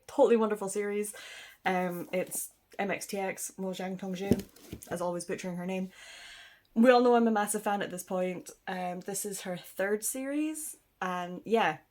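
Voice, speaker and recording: A woman's voice: mid-range voice, moderate pace, a little mellow. A woman rambling casually to camera about her reading, sniffly from a cold, chatty and self-deprecating with frequent ums and laughs. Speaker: book vlogger. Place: indoors at home.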